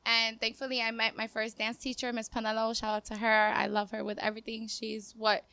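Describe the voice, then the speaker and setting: A woman's voice: a little high, completely flat delivery, fairly quick, vocal fry, pushed and bright, clear, resonant tone, dry recording. A woman in her twenties from Cuba, a dancer chatting casually as a podcast guest in an upbeat, talkative way. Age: 20s. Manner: upbeat, chatty, laughs easily. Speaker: guest, dancer and business owner. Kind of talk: casual interview talk.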